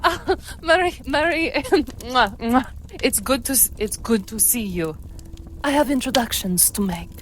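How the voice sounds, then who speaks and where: A woman's voice: on the high side, expressive, a little slow, fairly bright tone, a little hiss or hum. A woman playing a worldly, protective adventurer, affectionate and self-assured, in a reassuring, familiar manner. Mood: affectionate, flustered.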